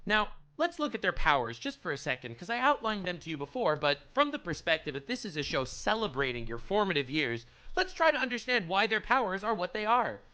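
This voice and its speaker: A man's voice: sits high, sing-song, brisk, few pauses, sharp tone, poor audio. A man giving earnest, explanatory narration for a scripted video essay.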